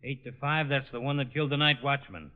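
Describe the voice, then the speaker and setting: An actor playing a brisk, plain-spoken police lieutenant in an old-time radio detective drama. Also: male voice, mid-range voice, lightly acted. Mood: brisk, gruff. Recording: dull, lo-fi old radio recording.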